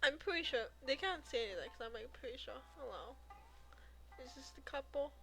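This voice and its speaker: A woman's voice: high voice, lively intonation, a little fast, gravelly, sharp tone, soft background music, low-quality recording. A woman game streamer chatting casually and in a rambling way while she plays. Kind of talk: casual live stream chat over gameplay.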